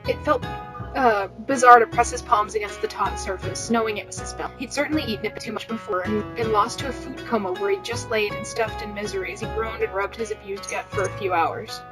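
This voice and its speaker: A woman's voice: medium pitch, fairly quick, low-quality recording. A woman reading fiction aloud as a podcast host, playful, slipping in wry comments of her own. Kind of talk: informal read-aloud narration with banter. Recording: guitar music behind the voice. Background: plucked guitar music.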